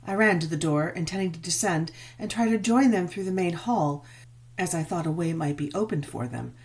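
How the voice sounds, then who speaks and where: A woman reading a gothic novel as dramatic narration, measured and expressive, with drawn-out emphasis. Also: fairly low pitch. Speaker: audiobook narrator.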